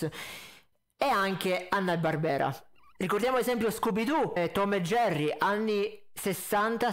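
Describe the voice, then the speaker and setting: A man's voice: high voice, fairly bright tone. A man in his twenties doing critical reaction commentary into a close desk microphone, blunt, pedantic and sarcastic. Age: 20s. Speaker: reaction video host. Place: indoor home streaming setup. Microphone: close desk condenser mic with pop filter.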